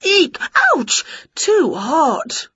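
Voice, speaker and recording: A woman voicing an excitable, greedy, scatty woman from a children's storybook, gushing and exclaiming. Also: a little high, measured pace, husky, no echo, lo-fi sound, voice pushed up, exaggerated voice. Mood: bossy, gleeful.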